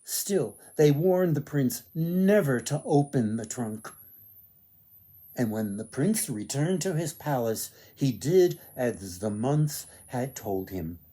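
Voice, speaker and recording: A man telling a folktale dramatically, with theatrical, deliberate phrasing. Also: medium pitch, lively intonation, dark tone, slightly rough. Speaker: author, storyteller.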